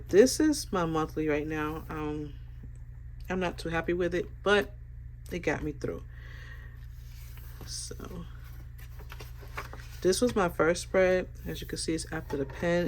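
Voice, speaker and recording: A woman's voice: sits low, slight background noise. Stuffy-voiced woman vlogger. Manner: relaxed, rambling, many fillers. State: stuffy from a sinus infection. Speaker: planner vlogger. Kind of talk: casual planner flip-through.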